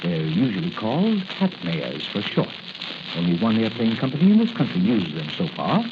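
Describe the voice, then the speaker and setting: An actor playing a kindly, anxious older doctor, hesitant and fond, in 1940s radio acting style. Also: male voice, on the low side, huge pitch swings, normal speed. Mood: fussy musing. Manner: hesitant, musing, repeats himself fondly. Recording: very noisy, dull 1940s radio recording.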